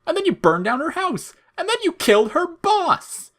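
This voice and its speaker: A man's voice: extremely high pitch, sing-song, moderate pace, a bit bright, voice pushed up, full character voice. A man voicing a mocking, smirking, childish flower monster in an audio fiction story. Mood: smug, cruel. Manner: mocking, sneering, theatrical.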